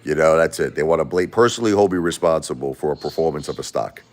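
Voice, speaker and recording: A man's voice: extremely low pitch, expressive, quick pace. A man from Brooklyn, hosting a casual livestream, blunt and repeating himself as he answers viewers and spars with critics. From Brooklyn, New York. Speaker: livestream host. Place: home.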